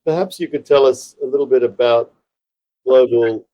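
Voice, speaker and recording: A man's voice: mid-range voice, moderate pace, lo-fi sound. A man hosting an interview podcast in a polished, courteous presenting style. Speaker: podcast host.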